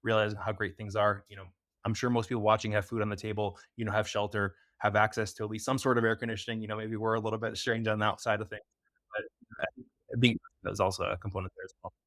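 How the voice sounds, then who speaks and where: Young american guy rattling on quick as a podcast guest, lowish voice, dead dry sound. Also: flat delivery, fairly bright tone, no room sound. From United States. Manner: fast, loose talk with many fillers. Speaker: podcast guest, preventative health expert. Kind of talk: casual interview chat.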